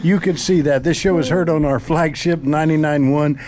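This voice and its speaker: A man hosts a talk radio show with a mellow, warm tone, keeping the patter upbeat and promotional. He introduces guests and moves the interview along. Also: a little high, steady tone, normal speed. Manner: promotional, enthusiastic host patter. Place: studio set in a mall before an audience.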